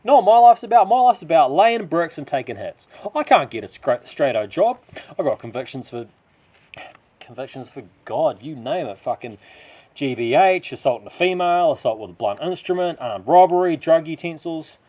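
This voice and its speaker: A man's voice: a little high, sing-song, normal speed, dark tone, pitched above the performer's own voice. A man voicing a bitter, hot-tempered professional wrestler down on his luck, ranting and swearing in a New Zealand accent. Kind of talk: first-person audio story monologue.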